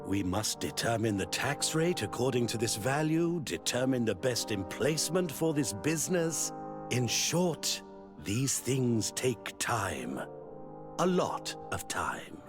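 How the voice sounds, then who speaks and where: A man playing an officious, self-important government minister in a video game, deliberate and smoothly obsequious. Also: medium pitch, big pitch swings, over music. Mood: stalling, condescending. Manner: pompous, bureaucratic, deliberate. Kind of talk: video game dialogue acting.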